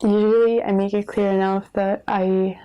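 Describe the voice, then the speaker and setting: Mellow young woman from southern Italy. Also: medium pitch, little pitch movement, normal speed, smooth, poor audio. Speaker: university student interviewee. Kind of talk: candid interview answers.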